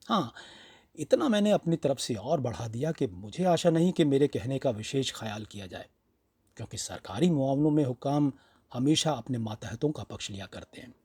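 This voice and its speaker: A man's voice: medium pitch, mellow, slightly rough, dry recording. A man reading a literary short story aloud as a scripted audiobook narration.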